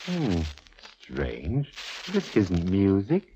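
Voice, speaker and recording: An actor playing a fussy, long-suffering musician sidekick in a 1940s radio show, arch, precise and theatrical. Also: male voice, low-pitched, wildly swooping pitch, extremely slow, a little hiss or hum, exaggerated voice. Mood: puzzled, exacting. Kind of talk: 1940s radio comedy-drama acting. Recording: muffled old radio recording, loud music.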